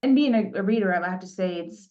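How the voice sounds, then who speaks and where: A woman's voice: medium pitch, fast. Upbeat woman host on dull audio. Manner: upbeat, chatty, informal. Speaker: interview host. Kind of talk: casual interview chat.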